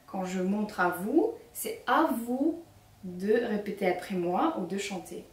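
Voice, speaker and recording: A young woman with a Ukrainian accent leading a children's singing workshop, speaking slowly and clearly as she gives instructions. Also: mid-range voice, expressive. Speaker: singing teacher. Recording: echoey room, mic at a distance.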